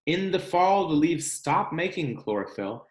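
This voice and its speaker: A man reading a children's science book aloud as a teacher, expressive and explanatory, speaking directly to young students. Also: a little high, measured pace, roomy sound.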